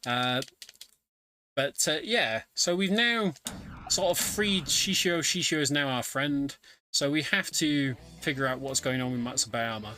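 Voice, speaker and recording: A man's voice: mid-range voice, unhurried, bright, forceful tone, a little hiss or hum. A man giving casual live commentary as he plays a video game, explaining the story as he goes. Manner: explanatory, narrates the story while playing. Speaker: speedrunner commentating own game run.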